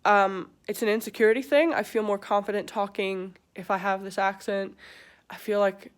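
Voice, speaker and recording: A woman's voice: mid-range voice, steady tone, clear, resonant tone. Hesitant woman with an American accent. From England. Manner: hesitant, halting, many pauses and fillers. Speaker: vlogger. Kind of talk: personal confessional talk.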